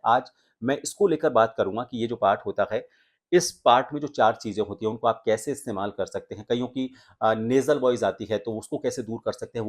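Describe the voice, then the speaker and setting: एक आदमी जो आवाज़ सुधारने का पाठ पढ़ाता है, हमिंग की आवाज़ें करके दिखाता है और हिंदी लहजे में बोलता है।. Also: male voice, lowish voice. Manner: explanatory, demonstrates humming sounds. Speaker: voice coach and anchor. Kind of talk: voice training lesson.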